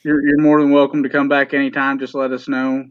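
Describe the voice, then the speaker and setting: A man's voice: mid-range voice, monotone, fairly quick, a little hiss or hum. A man hosting a podcast, thanking a guest politely and evenly. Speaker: host. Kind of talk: podcast wrap-up. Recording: dull lo-fi audio.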